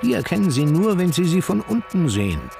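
A man's voice: high-pitched, sing-song, a little fast, creaky, full character voice. An actor playing a grim, sardonic gardener with a scythe in acted radio storytelling, drawing out his words. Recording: music behind the voice.

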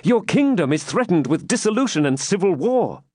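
A man's voice: on the high side, big pitch swings, moderate pace, dry recording, studio quality, voice pushed up. A man voicing a loyal, anxious young knight in a dramatic storybook reading, earnest and formal in his archaic speech. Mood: urgent.

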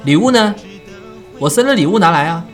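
A woman playing a brash, excitable student in an audio drama, blustery and exclamatory, with a Nanchang accent. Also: on the low side, fairly quick, soft background music, natural voice. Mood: eager, doubting. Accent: Nanchang-accented Mandarin. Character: brash, excitable student receiving a birthday gift.

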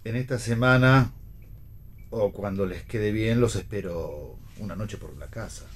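An Argentine man playing a gruff, needling father in a radio play reading, with a husky voice. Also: lowish voice, a little slow. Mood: reserved, probing. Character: gruff, needling father and husband, forgetful with names. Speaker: actor in a read-theatre radio play.

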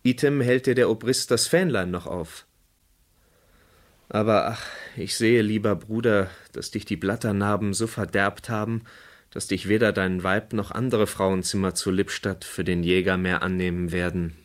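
An actor playing a loyal soldier envoy disguised as a merchant, speaking formal archaic German in a radio drama. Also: male voice, on the low side, steady tone, normal speed, natural voice. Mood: earnest, concerned.

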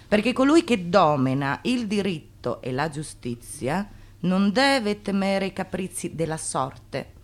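Low, lively, declaiming female narrator. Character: theatrical female narrator, knowing, ironic, declaiming. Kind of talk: theatrical radio drama narration.

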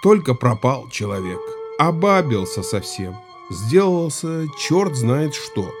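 Slow, animated rustic Cossack storyteller. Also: male voice, mid-range voice, over music. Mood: ominous, scornful. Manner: folksy, emphatic, exclamatory storytelling. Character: rustic Cossack villager spinning an eerie tall tale. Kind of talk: theatrical audio play acting.

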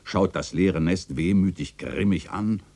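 A man's voice: sits low, a little slow, a little mellow. An actor playing an observant uncle who narrates his memories vividly, in a literary radio play. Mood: wry, measured. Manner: vivid storytelling.

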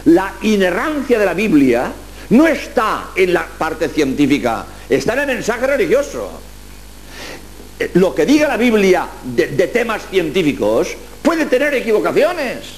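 A man's voice: high voice, very animated, unhurried, a little hiss or hum, low-quality recording. A man giving a talk on the Bible, emphatic, asking rhetorical questions and repeating himself.

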